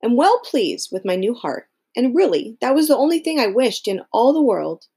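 A woman's voice: a little high, sing-song, normal speed, gravelly, warm tone, husky, pitched above the performer's own voice, full character voice. A woman reading a storybook, voicing a sentimental, courteous male tin woodman in an earnest way. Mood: pleased.

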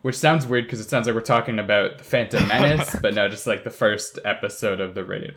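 A man's voice: on the low side, quick pace, fairly bright tone, slight background noise. A man leading a casual group discussion as a podcast host.

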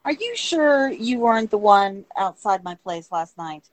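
A woman's voice: medium pitch, animated, unhurried, close to an everyday voice. A woman playing an edgy, eccentric woman, guarded yet frank, in an audio drama. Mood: probing. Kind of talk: amateur audio drama dialogue.

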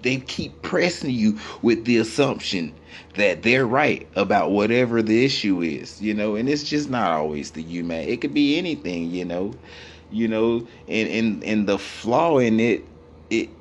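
Man, naturally deep, low-pitched voice, loose rambling profane comic rant, a faint hiss underneath. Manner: rambling, repetitive, profane, full of fillers. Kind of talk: comedic rant to camera.

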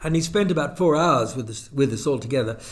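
A man's voice: mid-range voice, expressive, quick pace. An older man, a guest philosopher holding forth in a wry, expansive academic conversation.

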